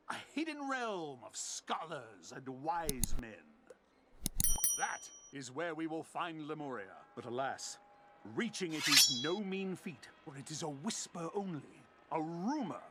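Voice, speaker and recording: An actor playing a bombastic old-time gentleman adventurer in a video game, pompous and theatrical. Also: fairly high pitch, a little hiss or hum, heavily acted. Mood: grand, mysterious. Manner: pompous, grandiose, exclamatory.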